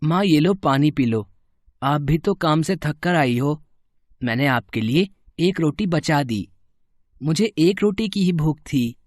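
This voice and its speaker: Man as a slow-talking, caring village son. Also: a little high, lively intonation, no room sound, clean studio sound.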